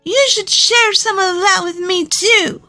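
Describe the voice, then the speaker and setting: A woman's voice: extremely high pitch, measured pace, bright, forceful tone, faint music behind, pitched above the performer's own voice, full character voice. A woman voicing a brazen, whiny, demanding young woman, the spoiled sister in a narrated family drama.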